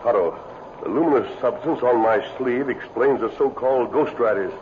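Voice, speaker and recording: An actor playing a calm, resolute masked western lawman in a 1950s radio adventure, speaking in formal, precise sentences. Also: medium pitch, normal speed, natural voice. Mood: calm, explanatory. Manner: formal, precise, explanatory diction. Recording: muffled, noisy old radio broadcast recording.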